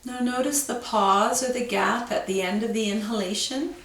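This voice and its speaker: A woman teaching yoga, guiding a breathing meditation with slow, calm, soothing instructions. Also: a little high, reverberant, far from the mic, slight background noise.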